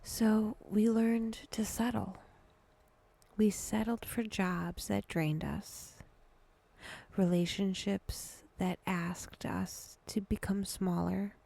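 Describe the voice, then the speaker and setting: A woman guiding a meditation in a hushed voice that drifts toward a whisper, speaking deliberately in short phrases with long pauses. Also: medium pitch, fairly even intonation, vocal fry. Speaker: guided meditation host. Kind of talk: slow, breathy guided meditation narration.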